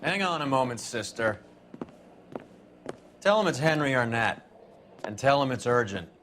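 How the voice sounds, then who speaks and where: An actor playing a pushy, hard-edged 1940s police detective in a video game, speaking brusquely. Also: male voice, mid-range voice, animated, a little slow, a bit bright. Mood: brusque, insistent. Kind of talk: video game drama acting. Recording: clear background city noise.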